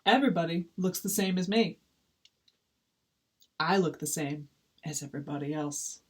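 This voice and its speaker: A woman's voice: lowish voice, normal speed, husky. A woman voicing a grumbling, self-pitying young penguin in a storybook, with a whiny, complaining delivery. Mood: glum complaint. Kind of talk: storybook read-aloud.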